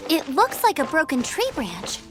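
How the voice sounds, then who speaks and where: A woman's voice: high-pitched, wildly swooping pitch, normal speed. A young woman playing an upbeat, encouraging female scout, over game music. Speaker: scout and gliding champion. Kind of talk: game cutscene dialogue.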